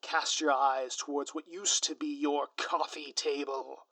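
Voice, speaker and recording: A man's voice: fairly high pitch, steady tone, unhurried, pushed and bright, no echo. A man playing a gleefully scheming, grandiose supervillain in an audio roleplay, pompous and taunting with florid, melodramatic delivery. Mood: smug, showy.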